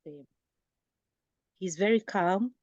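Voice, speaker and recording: A woman of medium pitch talks as a guest parent, sharing personal stories conversationally. The recording is dry and slightly dull. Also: slow pace, dry recording. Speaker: guest parent on a talk show.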